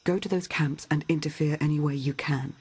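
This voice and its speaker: A woman playing a calm, studious Jedi ally in a video game, soft-spoken and reserved. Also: low voice, a little fast, slightly rough. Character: calm, studious Jedi mentor declining adventure. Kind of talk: video game cutscene acting.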